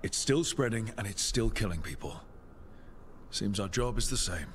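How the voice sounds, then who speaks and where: A man acts a steady warrior hero in a fantasy game. His voice is fairly low, decisive and plain-spoken, with a little noise behind it. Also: normal speed, natural voice. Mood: determined. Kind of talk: video game dialogue acting.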